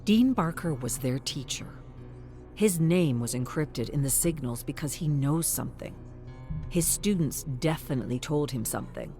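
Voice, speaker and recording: A woman's voice: low-pitched, expressive, moderate pace, mellow, faint music behind. A woman reading scripted story narration as a narrator.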